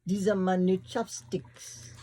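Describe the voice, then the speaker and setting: Man reading a storybook, slow and breathy. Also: high voice, flat delivery, dark tone. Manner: put-on accent in quoted lines, slow and laughing. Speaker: father reading a picture book to his toddler. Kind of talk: reading aloud a children's storybook at bedtime. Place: small bedroom at home, indoors. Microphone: phone camera held close.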